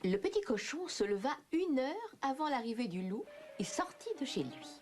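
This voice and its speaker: A woman's voice: sits high, very animated, moderate pace, a little mellow. A woman narrating a scripted French children's fairy tale in storybook style. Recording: clear music behind the voice.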